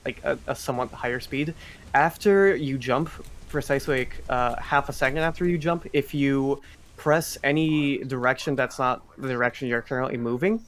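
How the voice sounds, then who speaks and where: A man's voice: mid-range voice, moderate pace, fairly bright tone. A man giving explanatory live commentary on a speedrun. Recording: faint background noise, live event stream.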